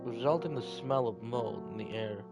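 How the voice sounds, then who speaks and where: A man's voice: mid-range voice, moderate pace, gravelly. Man narrating a visual novel over piano music. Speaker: video game player reading aloud. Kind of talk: dramatic read-aloud narration of a visual novel.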